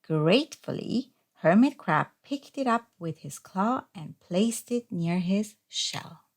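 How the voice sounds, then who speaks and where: Slow-paced woman reading a storybook. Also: medium pitch, animated. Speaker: storybook reader. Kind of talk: scripted children's read-aloud narration.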